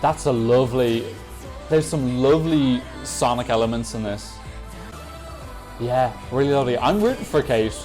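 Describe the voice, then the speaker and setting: A man's voice: mid-range voice, animated, moderate pace, darkish tone. A man hosting a Eurovision reaction video, giving chatty, opinionated commentary and correcting himself as he goes. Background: faint music from the songs being reacted to.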